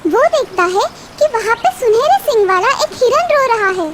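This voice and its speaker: A woman's voice: very high voice, lively intonation, moderate pace, slight background noise. A woman narrating a scripted children's cartoon story.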